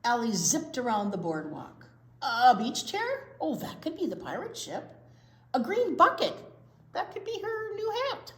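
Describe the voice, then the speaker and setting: A woman reads a story for young children at an unhurried pace. Her pitch swings widely with drawn-out emphasis, and the room adds a clear echo. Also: huge pitch swings, a bit bright. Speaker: children's library storytime co-host and reader. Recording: echoey room.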